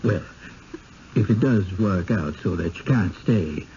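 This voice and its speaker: A humble, nervous country fellow in a seventies radio play. He speaks haltingly and pleadingly in a deep, dark voice. The broadcast is dull with faint hiss. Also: animated. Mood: furtive, pleading. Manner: halting, rustic folksy speech. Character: timid, furtive small-town villager, pleading and humble. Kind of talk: 1970s radio drama acting. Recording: muffled, hissy 1970s radio broadcast.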